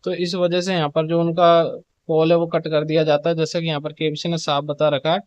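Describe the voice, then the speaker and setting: A man's voice: a little high, completely flat delivery, brisk, smooth, no echo, highs cut off. A man presenting updates in an informal, instructional explainer style, with repetitive phrasing. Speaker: video presenter giving show updates.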